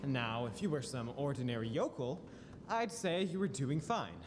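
A man acting a scheming old tycoon in a radio play, with a deliberate, condescending manner. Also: medium pitch, over music, close to an everyday voice. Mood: smooth condescension. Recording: echoey hall.

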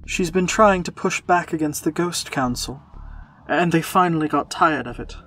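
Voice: mid-range voice, moderate pace, fairly bright tone, close to an everyday voice. A performer voicing an anxious, earnest younger man confiding in his lover, in an audio fiction drama. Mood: grave, urgent.

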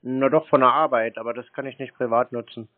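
A man's voice: medium pitch, fairly even intonation, brisk. A man in a casual phone conversation, answering briefly and noncommittally with lots of small hums. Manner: laconic, noncommittal, brief answers with many "mhm"s. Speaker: call recipient, customer. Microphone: landline telephone. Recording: dry but tinny landline phone audio.

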